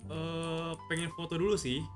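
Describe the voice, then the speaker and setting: A man's voice: a little high, completely flat delivery, normal speed, soft background music. A man with an Indonesian accent giving casual, muttered livestream commentary on a game, often repeating himself. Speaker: game streamer.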